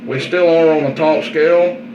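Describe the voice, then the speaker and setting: A man's voice: a little high, steady tone, moderate pace, muffled recording, background noise. A man giving a casual, hands-on radio equipment demonstration in a plain-spoken, folksy, informal way. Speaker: product demonstrator and seller.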